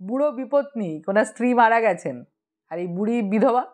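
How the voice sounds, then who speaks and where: A woman's voice: fairly high pitch, lively intonation, moderate pace, darkish tone, lightly acted. A woman voicing a cheerful, cheeky young man who gossips and teases in an audiobook story. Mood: gleeful gossip. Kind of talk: audiobook character dialogue.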